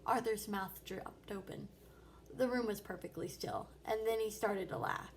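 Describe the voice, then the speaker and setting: A woman's voice: mid-range voice, unhurried, slight background noise. A woman narrating a children's book aloud as a storybook reader.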